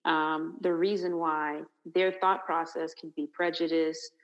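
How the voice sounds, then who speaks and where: Low-voiced woman, rambling casual talk. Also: little pitch movement, unhurried, muffled recording. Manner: rambling, lots of fillers. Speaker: podcast co-host. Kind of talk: casual discussion.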